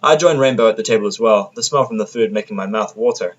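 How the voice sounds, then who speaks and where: A man's voice: fairly low pitch, quick pace, dull audio. A man narrating scripted first-person fiction as the storyteller of an audio drama.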